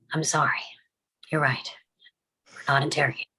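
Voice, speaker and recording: A woman playing a hurt mother in a drama table read, pressing insistently for answers in a breathy voice. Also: low voice, unhurried, pushed and bright, whispered, full character voice, low-quality recording. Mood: apologetic.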